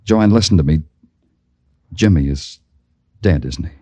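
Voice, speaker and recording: An American actor playing a brooding, wry police detective in an old radio crime drama, with slow, deliberate delivery. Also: male voice, extremely low pitch, expressive, dark tone, no room sound, heavily acted. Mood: grave, pressing. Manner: slow, deliberate, hard-boiled noir delivery. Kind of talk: 1940s-50s radio crime drama acting.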